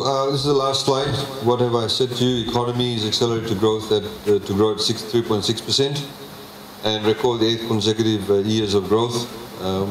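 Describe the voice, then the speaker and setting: A man's voice: medium pitch, slight background noise. Man presenting in an echoey hall. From Fiji. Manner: measured, many filler pauses. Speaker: government minister presenting a budget. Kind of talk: public presentation with slides. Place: public consultation meeting hall. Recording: echoey hall, faint ambience.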